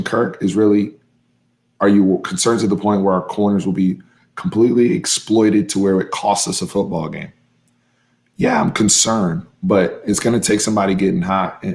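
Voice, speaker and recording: Hoarse man, gravelly voice, lowish pitch, excitable, repeats himself, casual live stream. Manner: excitable, emphatic, repeats phrases. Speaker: host of a fan sports channel.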